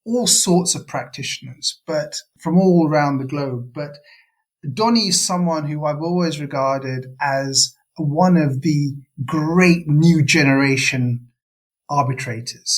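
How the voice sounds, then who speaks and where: An older man hosting a podcast interview, effusive and chatty, with plenty of fillers. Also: fairly high pitch. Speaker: podcast host.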